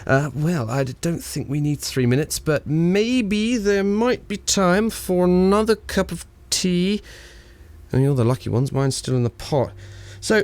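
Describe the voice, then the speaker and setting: A whimsical 1920s radio announcer, acted by a man, with a fairly high voice in a close, dry sound. He rambles theatrically through comic radio-play patter, hesitating and slipping cheeky asides to his listeners. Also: no room sound, natural voice. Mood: cheeky, rambling.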